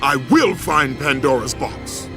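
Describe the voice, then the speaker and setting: A man's voice: fairly high pitch, lively intonation. A man playing a fierce, tormented, vengeful young Spartan warrior captain in a video game, with intense, declamatory delivery. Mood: defiant, wrathful. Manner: intense, declamatory, slow and grave. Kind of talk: dramatic video game cutscene acting. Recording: music underneath the voice.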